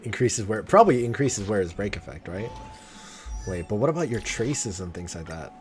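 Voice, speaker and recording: Male streamer, lower register, casual and excitable gameplay chatter, swears, game soundtrack underneath. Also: moderate pace. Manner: excitable, slangy, swears freely. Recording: game music behind the voice. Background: video game music and sounds.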